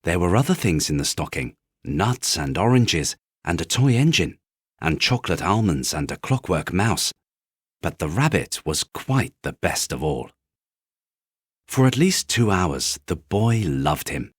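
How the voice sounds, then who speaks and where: A man reads a children's bedtime story calmly. His voice is low and very expressive in its rise and fall, with a husky grain, recorded close and dry. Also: a little slow, no room sound. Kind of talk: calm scripted children's story narration.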